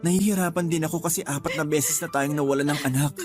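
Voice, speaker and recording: A man acting a patient, steadfast husband in a radio drama, earnest and gently reassuring. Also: on the high side, fairly even intonation, fast talker, lightly acted. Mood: earnest, pained. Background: music.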